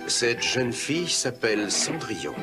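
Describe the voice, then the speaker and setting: A man's voice: mid-range voice, very animated, measured pace. A man playing the storyteller of a children's cartoon fairy tale, reading scripted narration. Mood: calm telling. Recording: music under the voice.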